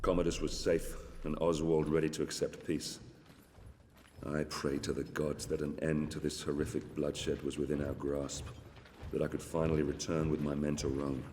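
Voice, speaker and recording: An actor playing a grave, war-weary Roman centurion, giving solemn, measured dramatic narration in a video game. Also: male voice, extremely low pitch, level tone, darkish tone. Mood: somber, weary. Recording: long reverb, faint background noise.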